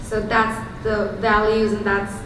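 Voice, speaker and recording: A woman's voice: medium pitch, completely flat delivery, takes it slowly. A young woman from India with an Indian accent, giving a candid, conversational talk to a live audience with frequent ums. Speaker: startup founder giving a talk. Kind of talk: storytelling lightning talk. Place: event hall with audience. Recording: very echoey hall from far off, noisy.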